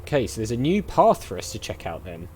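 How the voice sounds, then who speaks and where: Animated, chatty male gameplay commentator. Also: lowish voice, fairly quick, a little hiss or hum. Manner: chatty, reads game dialogue aloud. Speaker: gaming video host. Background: faint game ambience.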